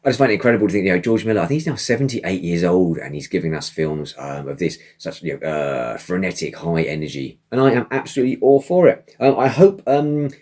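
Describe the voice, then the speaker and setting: A man with a hoarse, croaky voice, chatting casually as host with lots of ums and uhs. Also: low-pitched, expressive, fast, hardly any pauses, darkish tone. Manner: many ums and uhs, rambling. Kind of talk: casual chat.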